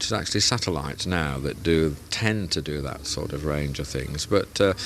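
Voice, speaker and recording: A man's voice: deep voice, a little hiss or hum. A man answering interview questions about his hobby club, explaining patiently in plain comparisons. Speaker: radio club founder.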